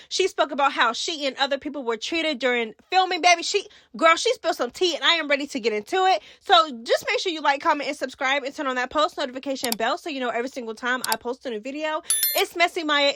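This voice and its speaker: A woman's voice: sits high, fairly bright tone, no room sound, clean studio sound. A woman hosting a casual reality TV commentary video, with sassy, slangy talk aimed straight at her viewers. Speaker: YouTube host.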